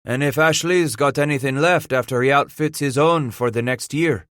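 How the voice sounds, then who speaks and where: A man's voice: medium pitch, normal speed, fairly bright tone, no room sound, voice pushed up, lightly acted. Guy doing a grumpy trapper w/ spanish-french accent, growly, dry close mic. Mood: surly. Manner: growling, resentful.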